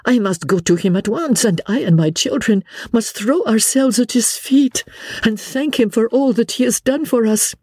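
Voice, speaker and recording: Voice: mid-range voice, normal speed, a little mellow, husky, dry recording, studio quality, pitched above the performer's own voice, natural voice. An audiobook reader voicing an earnest French countess, a bewildered mother who sighs and pleads. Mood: urgent gratitude. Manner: sighing, pleading.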